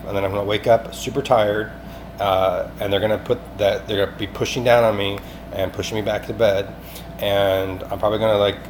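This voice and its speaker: A low-pitched man speaks in a rambling, insistent monologue, as a caller leaving a voicemail. He is agitated and repeats himself, with some profanity. Clear noise runs through the recording. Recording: clearly noisy amateur phone-call recording.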